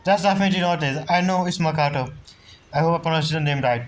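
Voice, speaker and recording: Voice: medium pitch, quick pace. Streamer reacting to game story: casual commentary, noisy recording with clicking.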